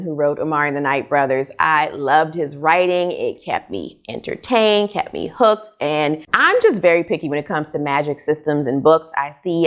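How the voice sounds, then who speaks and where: A middle-aged American woman talking casually and candidly to camera as a book vlogger, with a conversational, opinionated delivery. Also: lowish voice. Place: small furnished room at home, indoors.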